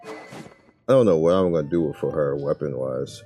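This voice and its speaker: A man's voice: a bass voice, moderate pace, mellow, soft background music. A man giving casual gameplay commentary, thinking aloud in informal, slangy, muttered asides. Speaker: gaming video creator.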